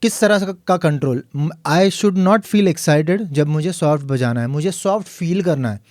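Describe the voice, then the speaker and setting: Man, piano teacher, mellow voice, dry close mic, slightly high pitch. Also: unhurried, no room sound. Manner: explains calmly, counts beats and sings note names. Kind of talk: tutorial lesson.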